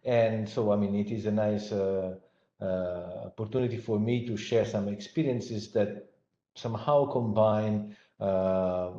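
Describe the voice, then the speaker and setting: Halting Italian professor, low dark voice. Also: male voice. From Italy. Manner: halting, frequent fillers and restarts. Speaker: guest lecturer, professor. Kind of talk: online academic lecture. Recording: echoey, dull lo-fi online audio.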